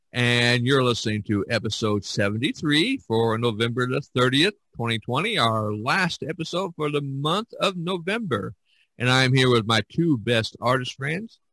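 A man's voice: mid-range voice, sharp tone, dry recording. A man hosting an art podcast, talking loosely with many fillers and restarts. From United States. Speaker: podcast host. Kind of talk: casual podcast talk.

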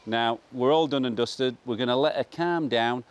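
A man's voice: mid-range voice, moderate pace, clear, resonant tone. A man in his fifties with a Yorkshire accent, a farmer presenting an informal vlog in a plain, matter-of-fact way. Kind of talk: informal vlog explaining animal care to camera. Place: large open-sided straw-bedded barn.

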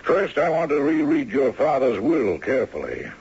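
An actor playing a wry, courtly retired judge in his late seventies in a 1970s radio drama, with a husky voice and a dry manner. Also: mid-range voice, wildly swooping pitch, moderate pace, darkish tone, heavily acted. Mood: gallant. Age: older. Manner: dry, courtly, given to chuckles and hums. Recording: dull 1970s radio recording.

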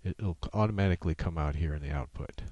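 Deep voiced guy talking thru a software tutorial step by step, kinda halting, dry close sound. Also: no room sound, lo-fi sound. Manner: halting, unscripted step-by-step explaining. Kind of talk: casual screen-capture tutorial narration. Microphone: USB microphone.

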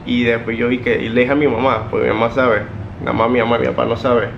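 A man's voice: medium pitch, level tone, fast, creaky, muffled recording, a little hiss or hum. A man in his early twenties with a Panamanian Spanish accent, chatting casually and in slang as a YouTuber making prank phone calls. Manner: slangy, informal. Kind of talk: casual prank-call chat. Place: living room indoors, on a couch.

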